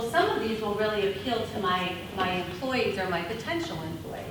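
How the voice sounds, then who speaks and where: A woman presenting at a conference workshop with a loud voice. She takes audience questions and laughs often. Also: mid-range voice. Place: conference room. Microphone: speaking without a mic. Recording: echoey room, far from mic, noisy.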